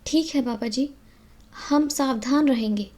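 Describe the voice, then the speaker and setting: A gentle, anxious mother, acted by a woman, with a high voice and slow delivery. She sounds plaintive and earnest, as in a cartoon horror tale. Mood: obedient. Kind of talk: dramatized horror cartoon story.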